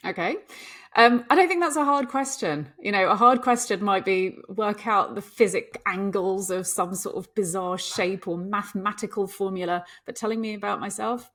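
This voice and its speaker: A woman's voice: mid-range voice, moderate pace, a bit bright. A middle-aged woman from Hong Kong talks as a podcast guest, with a voice in the middle range. She speaks candidly and bluntly, and she drops in dry asides as she answers. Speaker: guest, occupational psychologist. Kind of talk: podcast interview.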